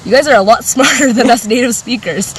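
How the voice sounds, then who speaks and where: A woman's voice: on the high side, brisk. Teenage woman, effusive and chatty, filler-heavy, caught from far away over street noise. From Canada. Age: late teens. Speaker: student interviewee. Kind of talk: casual interview answer. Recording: distant mic, loud outdoor traffic noise.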